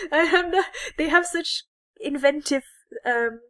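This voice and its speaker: A woman with a fairly high voice chats informally as a podcast co-host. She is sarcastic and likes to slip into mock dialogue to make her point. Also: slow, fairly bright tone. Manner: sarcastic, acts out imagined dialogue. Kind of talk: casual geek chat, movie review.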